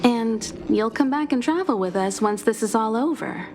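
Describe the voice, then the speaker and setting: A woman playing a composed, caring mentor in a video game, speaking calmly and reflectively. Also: a little high, normal speed. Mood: reassuring, reflective. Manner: calm, reassuring, reflective. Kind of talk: dramatic video game voice acting.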